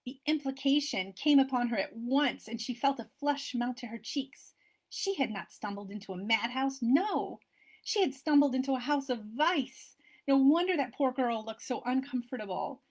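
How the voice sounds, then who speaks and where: Soft-voiced woman reading her novel. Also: sits high, normal speed. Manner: expressive, shifting with the story. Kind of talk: audiobook-style reading of a romance novel.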